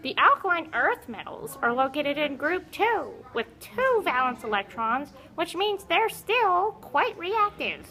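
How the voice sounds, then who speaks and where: Woman voicing a very high-pitched, haughty old puppet teacher. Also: sing-song, unhurried, hardly any pauses, pushed and bright, full character voice. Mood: lecturing, haughty. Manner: haughty, exasperated, scolding, lecturing. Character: grumpy, conceited old talking periodic table puppet, impatient teacher. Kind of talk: educational puppet show skit.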